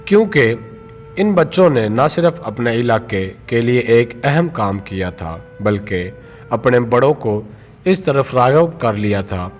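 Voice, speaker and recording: A man reading a scripted moral story for children as a storyteller, in a measured read-aloud manner. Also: mid-range voice, lively intonation, soft background music.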